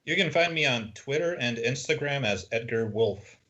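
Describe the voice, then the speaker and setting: A man's voice: mid-range voice, expressive, moderate pace, sharp tone, highs cut off. A man speaking casually as a panellist on a podcast. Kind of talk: casual chat.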